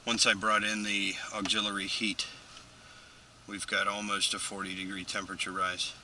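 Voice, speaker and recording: A man's voice: fairly low pitch, completely flat delivery, moderate pace, bright, forceful tone, echoey room, big-room sound, slight background noise. Man narrating HVAC work on a head camera. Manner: methodical, talks through readings. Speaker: HVAC technician. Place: attic, garage and outdoors in snow. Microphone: head-mounted action camera.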